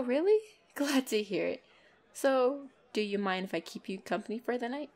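A woman's voice: on the high side, heavily acted. A woman voicing a flirtatious, teasing female vampire in an intimate ASMR roleplay, playful and giggly with a hungry undertone. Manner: playful, giggly, slow and coy, dropping into breathy whispers. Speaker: roleplay voice performer. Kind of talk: ASMR roleplay, intimate one-sided dialogue.

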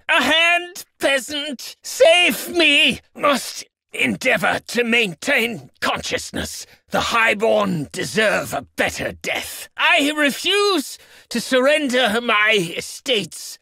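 Snobby nobleman for a medieval battle game: pompous, very high, wildly swooping delivery. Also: creaky, sharp tone, no echo, clean studio sound, exaggerated voice. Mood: pained, pleading, then dying, indignant. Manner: pompous, florid, declaiming. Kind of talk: video game battle voice lines.

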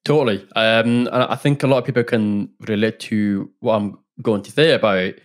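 A man's voice: lowish voice, steady tone, normal speed. A man in his thirties from Northern Ireland, with a blended Northern Irish, Scottish and English accent, talking earnestly and openly as a podcast guest, with some restarts. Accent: mixed Northern Irish, Scottish and English. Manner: earnest, open, frequent restarts. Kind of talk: casual interview conversation. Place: home room with bookshelves. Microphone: studio microphone on boom arm, headphones.

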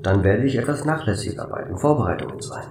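A man voicing a calm, polite station computer in a German radio play, measured, formal and matter-of-fact. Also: fairly low pitch. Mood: helpful. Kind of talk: radio drama acting. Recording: roomy, distant, faint noise.

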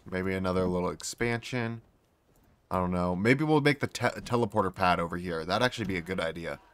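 A man with a slightly hoarse, failing voice, casually rambling as he plays and talks to himself and his creatures. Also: deep voice, fairly bright tone. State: losing his voice, sore throat. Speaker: gaming YouTuber. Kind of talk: casual gameplay commentary.

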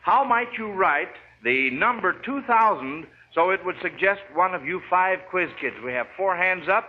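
A man's voice: sits high, animated, moderate pace. An American man hosting a 1940s radio quiz show with folksy schoolteacher patter. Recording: dull, noisy 1940s radio recording.

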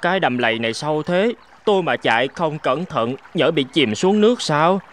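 A man's voice: fairly high pitch, lively intonation, moderate pace, noisy background, natural voice. A man voicing a timid, admiring frog in a cartoon fable, speaking in a hesitant, fawning manner.

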